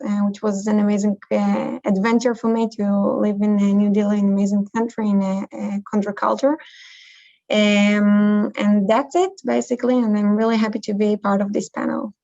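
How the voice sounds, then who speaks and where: Woman from Israel, consultant panelist, monotone, dull call audio. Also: medium pitch, creaky. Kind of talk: self-introduction on a panel. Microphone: video call.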